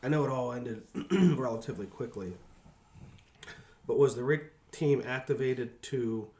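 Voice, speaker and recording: A man's voice: medium pitch, unhurried, a little mellow. A man interviewing in a conversational way, asking follow-up questions, recorded with a roomy echo. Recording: roomy, echoing sound.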